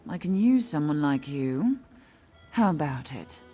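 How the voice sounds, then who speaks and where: Lowish, sneering, haughty snob for game dialogue. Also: female voice, big pitch swings, warm tone, breathy edge, soft background music, heavily acted. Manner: sarcastic, condescending, brisk. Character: snobbish haughty woman event organizer.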